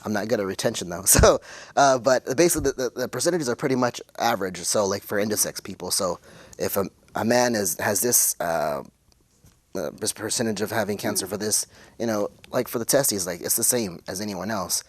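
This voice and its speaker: Man, forties, from West Texas, lowish pitch, dry sound, casual interview guest, halting, word-searching delivery. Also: no room sound. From West Texas, United States. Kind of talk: casual interview talk, personal account.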